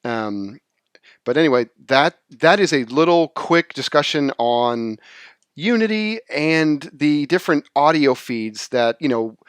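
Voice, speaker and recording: Man giving an informal, closely recorded software tutorial. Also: fairly high pitch, expressive, clear, resonant tone, dry recording. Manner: explanatory, halting, rephrases as he goes. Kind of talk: informal screen-share tutorial, unscripted explanation.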